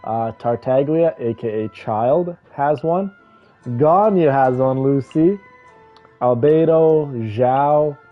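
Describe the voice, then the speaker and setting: A man chats casually as a livestream host, addressing his viewers like old friends. His voice sits at an average pitch. The audio is a little dull and lo-fi, with music playing faintly behind him. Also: slow. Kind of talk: casual livestream chat, reading names and greeting viewers. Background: background music.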